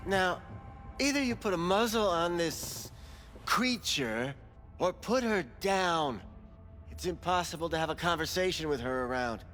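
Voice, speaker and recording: A man's voice: high-pitched, expressive, measured pace, fairly bright tone, faint music behind. An actor playing a callous, pathetic back-alley doctor in a video game, prissy and defensive, stammering as he is questioned. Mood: haughty. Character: shifty, callous, pathetic back-alley implant doctor, whining under interrogation. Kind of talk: dramatic video game acting.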